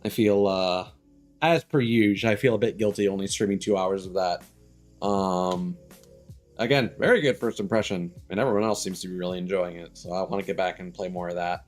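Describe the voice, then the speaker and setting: Low-voiced man chatting on stream. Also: a little fast, soft background music. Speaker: game live streamer. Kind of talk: casual live stream chat.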